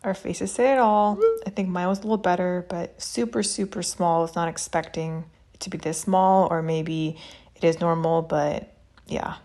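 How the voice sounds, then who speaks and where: A woman's voice: on the low side, steady tone, normal speed, mellow. A woman narrating a travel vlog in a casual, chatty and candid, understated way. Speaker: travel vlogger. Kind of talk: casual vlog voice-over reviewing an experience.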